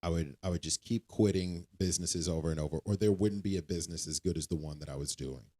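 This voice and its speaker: Deep-voiced man reflecting on a podcast. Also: fast talker, warm tone. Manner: reflective, repeats himself, trails off. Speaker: podcast co-host. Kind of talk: casual faith-based chat.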